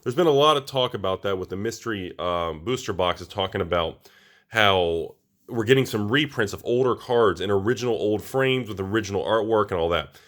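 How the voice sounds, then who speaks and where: An American man with a slightly raspy voice, a little under the weather, talking casually and ramblingly to viewers as he reviews products. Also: fairly low pitch, expressive, a little fast, a bit bright. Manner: informal, rambling, addresses viewers directly. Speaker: collectibles channel host.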